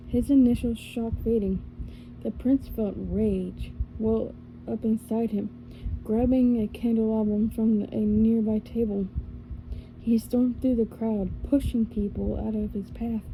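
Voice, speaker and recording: Female ASMR reader, unhurried and soft, dark warm tone, small self-corrections. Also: fairly high pitch, a little hiss or hum, low-quality recording. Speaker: ASMR storyteller. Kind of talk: soft ASMR story reading.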